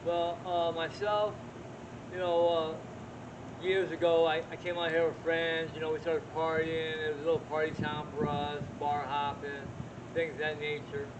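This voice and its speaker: A man from Connecticut being interviewed outdoors in a park, speaking frankly and haltingly, with lots of fillers. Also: a little high, flat delivery, highs cut off, background noise. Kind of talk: casual interview talk.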